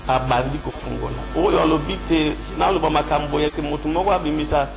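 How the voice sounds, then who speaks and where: A man's voice: a little high, vocal fry, music in the background, rough recording. A man who is a pastor, preaching unhurriedly on a studio microphone, with a cold. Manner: unhurried, quotes scripture, mixes French and Lingala. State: has a cold. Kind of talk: preaching on a radio call-in show. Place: radio studio. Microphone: studio mic with headphones.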